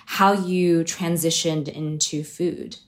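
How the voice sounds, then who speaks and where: Low-voiced woman host, encouraging. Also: fairly even intonation, slow. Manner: encouraging, brief prompts and questions. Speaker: interview host. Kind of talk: interview conversation.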